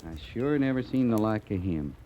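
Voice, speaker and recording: A man's voice: on the low side, lively intonation, moderate pace, warm tone, dull audio, close to an everyday voice. Grumbling rustic American sergeant acted by a man, noisy recording. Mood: wry, concerned. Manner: folksy, grumbling, colloquial. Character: grumbling, folksy male cavalry sergeant. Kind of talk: old-time radio western acting. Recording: noisy old radio western recording.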